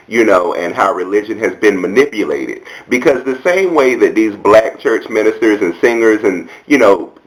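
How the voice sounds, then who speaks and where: A man's voice: fairly low pitch, poor audio. An American man giving casual commentary as a video blogger, talking in a candid, sassy, colloquial way.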